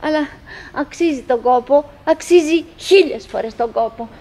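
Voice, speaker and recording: An actress playing a chattering, wistful, nagging wife who clings to cheer, in halting, repetitive theatrical monologue for an absurdist radio play. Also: female voice, high voice, darkish tone, lightly acted. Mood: coaxing, tender. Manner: halting, repetitive, theatrical, mimicking remembered dialogue.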